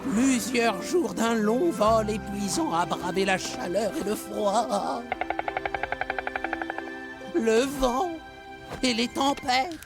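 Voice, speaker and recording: An actor voicing a gentle grown stork in a children's cartoon, speaking wistfully and with soft drama. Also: medium pitch, lively intonation, takes it slowly, pauses often. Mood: gravely dramatic. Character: gentle adult migrating stork, weary traveller. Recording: music clearly behind.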